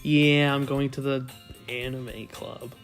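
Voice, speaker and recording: A man's voice: medium pitch, flat delivery, takes it slowly, faint music behind. A young man reading a visual novel aloud for a gaming video, with crude, irreverent asides. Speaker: let's play narrator.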